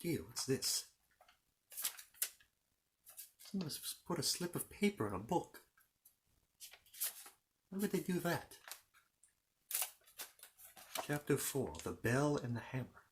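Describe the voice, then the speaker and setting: A man's voice: a little high, sing-song, measured pace, long pauses, slight background noise. A man playing a fussy, courteous storybook keeper of histories in an ASMR roleplay, hesitant and rambling as he tells his tale. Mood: fussy, musing. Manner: hesitant, rambling, apologetic, repeats himself. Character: fussy, courteous Narnian bookkeeper recounting his world's history.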